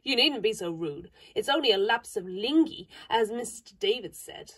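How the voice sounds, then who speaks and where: A prim little girl chatters on earnestly, fussing over manners and reaching for grand words, as a woman reads her part. The voice sits high and a little breathy, as in a storybook reading. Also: animated, moderate pace. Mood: peevish. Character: young girl, prim, vain, fond of big words.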